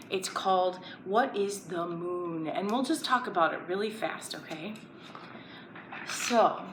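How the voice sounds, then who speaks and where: A woman presenting a children's educational story time, playfully asking young viewers simple questions as she explains and reads aloud. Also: mid-range voice. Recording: roomy echo, background noise.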